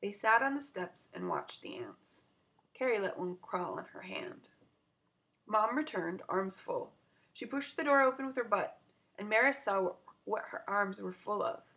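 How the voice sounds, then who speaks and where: A woman narrating a children's story aloud in an expressive storytelling style. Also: mid-range voice, unhurried.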